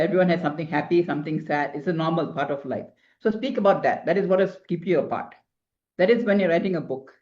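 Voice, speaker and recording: A woman's voice: on the low side, darkish tone. A middle-aged woman from Singapore, a marketing consultant giving advice as an interview guest, rambling with many restarts. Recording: dull lo-fi sound.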